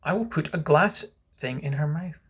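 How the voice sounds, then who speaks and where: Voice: medium pitch, moderate pace, husky. A storybook reader voicing a boy who pretends to be a solemn, pompous doctor. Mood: solemn, self-important. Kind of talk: storybook reading with character voices.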